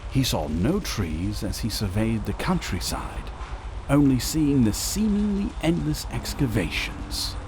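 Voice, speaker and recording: A man reading scripted narration for a dramatic audio story in a measured, theatrical manner. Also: mid-range voice, very animated, noisy background.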